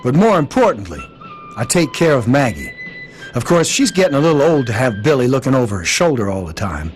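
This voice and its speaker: A man's voice: mid-range voice, sing-song, brisk, soft background music, natural voice. An actor playing a folksy wasteland trader and protective father figure in a video game, with an American accent and a drawling manner. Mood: proud, easygoing.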